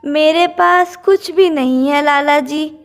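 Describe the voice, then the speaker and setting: महिला की आवाज़ में ऊँची आवाज़ वाली विनम्र माँ चिड़िया. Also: female voice, high voice, slow pace, soft background music. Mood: humble, pleading. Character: distressed mother bird, humble and pleading. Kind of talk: Hindi children's cartoon dubbing.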